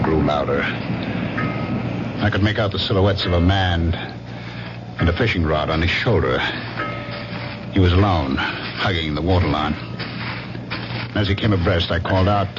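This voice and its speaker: An actor playing a brooding, jealous husband, narrating a radio mystery in dramatic phrases with weighted pauses. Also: male voice, sits low, noisy background. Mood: tense, reflective. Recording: dull old radio recording with music behind.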